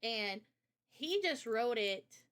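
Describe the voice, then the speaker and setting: Woman streamer just chatting, highish bright voice, really earnest and passionate about what she does. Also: lively intonation, slow. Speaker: live stream host interviewing a guest; illustrator. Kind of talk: casual unscripted chat.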